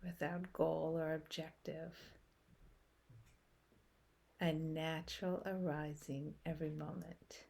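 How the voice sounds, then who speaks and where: A woman leading a guided meditation in a soothing, unhurried way, with long pauses between short phrases. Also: sits low, level tone, vocal fry, a little mellow, breathy edge.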